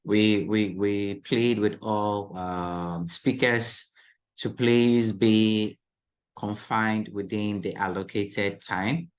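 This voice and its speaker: Man, panel moderator, slow delivery, lowish voice, coaxing. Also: fairly even intonation. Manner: slow, coaxing, repeats appeals to the audience.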